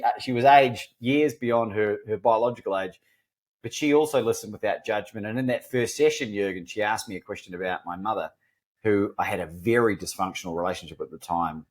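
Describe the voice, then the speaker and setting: A middle-aged man whose accent blends New Zealand and Australian, speaking at an even middle pitch. He tells his story candidly, earnest and self-deprecating, often restarting his sentences. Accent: mixed New Zealand and Australian. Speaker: podcast guest.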